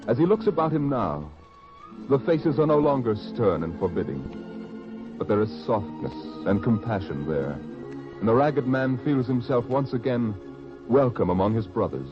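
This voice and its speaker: A man's voice: mid-range voice, very animated, normal speed, dull audio. A man narrating and announcing in a solemn, formal, dramatic old-time radio style. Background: prominent music.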